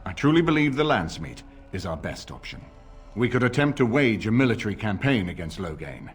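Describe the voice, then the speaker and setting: A grave older lord speaks in a low, husky voice. His formal, authoritative delivery rises and falls widely, as a war leader weighing his options in a fantasy game. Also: sing-song, a little fast, soft background music. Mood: grave, reasoning. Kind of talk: dramatic video game dialogue.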